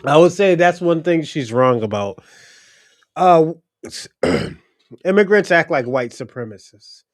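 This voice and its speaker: A man hosting a livestream, reacting in loud, laughing, slang-heavy casual commentary. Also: fairly high pitch, animated, takes it slowly. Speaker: livestream host.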